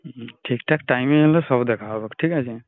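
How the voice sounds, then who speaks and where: A man's voice: medium pitch, a little fast. A man having a casual, private chat over the phone. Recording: tinny, narrow-band, dry phone call recording.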